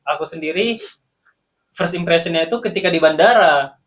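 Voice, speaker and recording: A man with an Indonesian accent, a guest on a casual podcast, telling about his time as a student in Sudan with lively, laughing storytelling. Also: a little high, fairly quick. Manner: animated storytelling, laughs while recounting.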